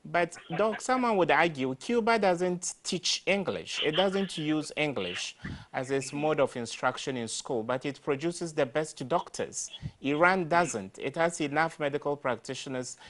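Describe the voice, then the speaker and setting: A man's voice: a little high, measured pace, fairly bright tone. A man hosting a radio interview, asking questions in a formal, articulate way. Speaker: radio host.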